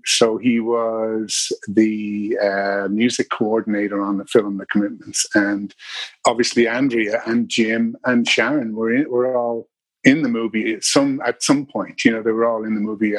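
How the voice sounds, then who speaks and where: Middle-aged Irish man, husky and dry, rambling interview storyteller. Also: on the low side, fairly even intonation, a little slow, no room sound, rough recording. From Ireland. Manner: rambling, many restarts and fillers. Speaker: bass player guest.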